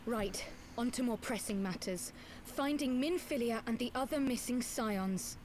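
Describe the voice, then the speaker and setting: A woman's voice: on the high side, a little slow, darkish tone, slight background noise, natural voice. A woman playing a small, teasing, self-assured fantasy scholar in a video game, with wry, precise and formal phrasing. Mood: businesslike.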